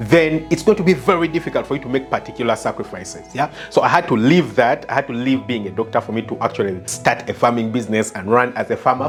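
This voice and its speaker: A man from Uganda, a former doctor turned farmer, giving an earnest motivational talk and often asking "yeah?" to check in with his listener. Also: medium pitch.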